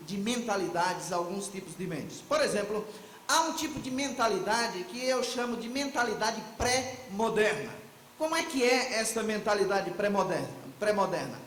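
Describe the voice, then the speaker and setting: A man's voice: sits high, expressive, normal speed, a bit bright, roomy sound, a little hiss or hum, poor audio. A man giving a theological lecture at a conference, reflective and posing questions to the audience before answering them himself. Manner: reflective, asks and answers his own questions. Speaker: conference speaker.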